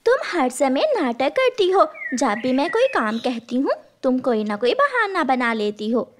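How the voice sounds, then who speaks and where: Lady doing a mama bird in a kids cartoon, caring but strict, high lively voice. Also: measured pace, slight background noise. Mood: scolding. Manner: motherly, instructing.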